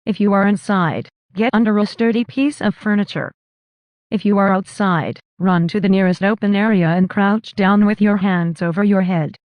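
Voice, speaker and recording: A woman's voice: medium pitch, moderate pace, dry recording, lo-fi sound. Synthetic female emergency announcer, very dry sound. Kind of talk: scripted emergency broadcast announcement.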